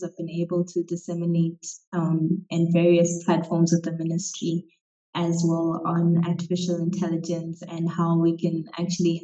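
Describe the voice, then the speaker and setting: A young woman from Zimbabwe, a technology policy writer, speaking calmly and evenly as a guest in an interview over a video call. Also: fairly low pitch. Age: young adult. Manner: calm, measured speech. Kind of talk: conversational interview. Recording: dull, muffled lo-fi sound.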